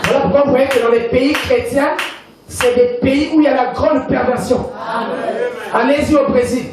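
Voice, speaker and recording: High-voiced Congolese pastor preaching in a hall. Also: male voice, steady tone, normal speed, a crowd in the background. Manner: emphatic preaching with repetition and call-and-response. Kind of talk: sermon. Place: church hall. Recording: echoey hall, mic from far off, congregation audible. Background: congregation responding amen.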